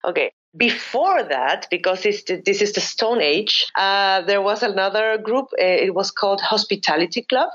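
A woman's voice: mid-range voice, a bit bright, lo-fi sound. A woman from Chile with Spanish as her first language, a psychologist and expat coach, talking casually as an interview guest, often restarting sentences and laughing. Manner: halting, many restarts and fillers, laughs often.

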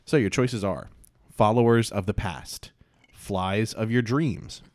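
A man's voice: lowish voice, takes it slowly, dry recording. A man hosting a podcast in casual chat, laid-back and rambling, with lots of fillers. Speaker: podcast host.

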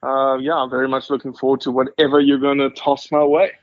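A South African man in his forties, talking in a humble, rambling way as a podcast guest. His voice sits at a middle pitch and comes across a little dull and muffled. The room is dead and dry. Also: flat delivery, fairly quick, no room sound. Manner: humble, rambling with many restarts. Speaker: guest, wildlife scientist. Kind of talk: casual podcast conversation.

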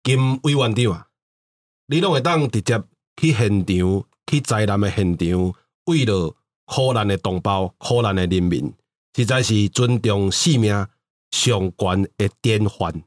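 Man playing a solemn, low-voiced Taiwanese statesman. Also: no room sound, close to an everyday voice. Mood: stately praise. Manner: slow, grave, sentimental oratory. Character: solemn, tender male head of state reading letters. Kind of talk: mock radio drama acting.

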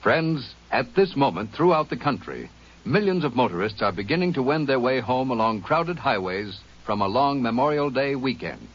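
A man's voice: medium pitch, normal speed, muffled recording. A man reading an earnest public service announcement in an old radio broadcast. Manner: earnest, lively delivery.

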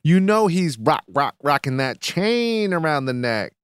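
A man's voice: fairly high pitch, sing-song, smooth, no echo, studio quality. Man joking in casual banter. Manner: joking, quick, playful. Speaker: podcast co-host.

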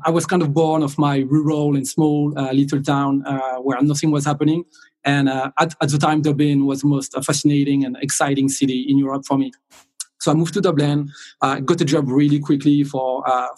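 A man's voice: a little high, a little mellow. A middle-aged man with a French accent, speaking conversationally as an interview guest. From south of France. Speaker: sales director, podcast guest.

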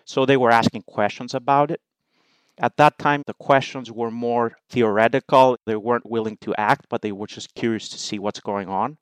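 A man from Greece whose first language is Greek speaks as an interview guest. His voice is smooth and clear at a middle pitch, in a dry close recording, and he sets out his points in a measured, methodical way. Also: steady tone, no echo. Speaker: podcast guest, investment professional. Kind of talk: explanatory interview talk.

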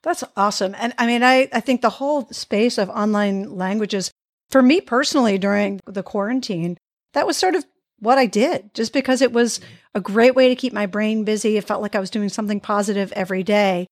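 A woman's voice: medium pitch, fairly quick, dry recording. Female interview host, born in the United States; enthusiastic, affirming, long-winded questions, dry close recording. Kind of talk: casual interview conversation.

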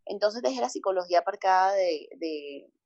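A woman from Colombia with a Caribbean coast accent, a holistic psychologist telling her story in a relaxed interview, expressive and repeating words for emphasis. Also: medium pitch, normal speed, no room sound, dull audio.

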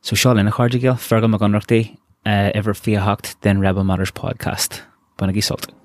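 Irish man, very low monotone voice, dry close sound, relaxed podcast host. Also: fairly quick, no echo. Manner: relaxed and rambling, with fillers. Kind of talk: casual podcast chat.

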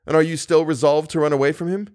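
An ardent young gentleman of a gothic storybook, acted by a male narrator, with raised pitch. He is protective and jealous, pressing his questions with urgent emphasis. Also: fairly even intonation, quick pace, lightly acted. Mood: insistent.

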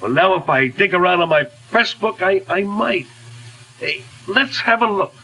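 A man's voice: high voice, expressive, normal speed, poor audio. An actor playing a breezy, slangy male tap dancer in a 1940s radio drama, rambling in showbiz talk. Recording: 1940s radio broadcast with music.

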